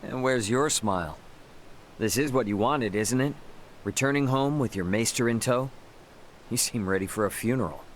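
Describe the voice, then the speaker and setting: A man's voice: medium pitch, moderate pace, slight background noise, natural voice. A man playing an easygoing, loyal young adventurer in a fantasy video game, talking casually. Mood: teasing. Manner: casual, colloquial. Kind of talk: English video game voice acting.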